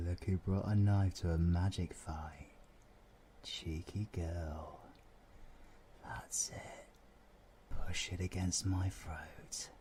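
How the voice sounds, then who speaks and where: A British man playing a cocky, menacing supervillain in an audio roleplay, weary and flirtatiously taunting, often dropping into breathy murmurs. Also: low voice, bright, forceful tone, slight background noise, heavily acted. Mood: amused, daring. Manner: slow, teasing, theatrical, with pet names. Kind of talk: audio roleplay acting, villain ASMR.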